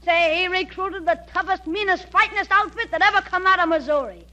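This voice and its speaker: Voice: very high-pitched, brisk, pushed and bright. An actor playing a brash, eager, slangy schoolboy in a 1940s radio comedy. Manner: slangy, boastful, emphatic. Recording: noisy old radio broadcast with hum.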